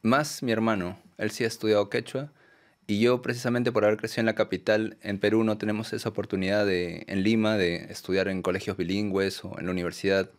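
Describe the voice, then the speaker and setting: A Peruvian man, a documentary filmmaker, answering interview questions in a soft, hesitant way with many fillers and tag questions. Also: fairly low pitch, fairly even intonation, fairly quick. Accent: Peruvian Spanish. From Peru.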